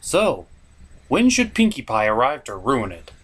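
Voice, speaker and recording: A man's voice: medium pitch, wildly swooping pitch, a little slow, fairly bright tone, breathy edge. A man giving a scripted comedic review as host, with an exasperated, sweary, ranting delivery. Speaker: puppet-show reviewer and host.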